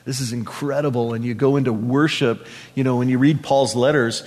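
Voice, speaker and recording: A man's voice: mid-range voice, fairly even intonation, a little fast, darkish tone. A man preaching a conversational verse-by-verse sermon, often restating phrases and putting questions to his listeners. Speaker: pastor, preacher. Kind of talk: sermon, verse-by-verse Bible teaching to a congregation. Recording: long hall reverb.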